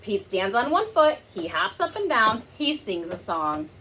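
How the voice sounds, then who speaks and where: A woman reading a picture book aloud to young children, expressive and speaking to them directly. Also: on the high side, measured pace, slight background noise, poor audio. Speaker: parent and teacher reading aloud.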